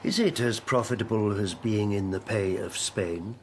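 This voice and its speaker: A man playing a scheming, insistent Elizabethan gentleman petitioner in a radio drama, formal, rhetorical and insinuating. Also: on the low side, moderate pace, a little hiss or hum, natural voice. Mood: insinuating, menacing. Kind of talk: period radio drama acting.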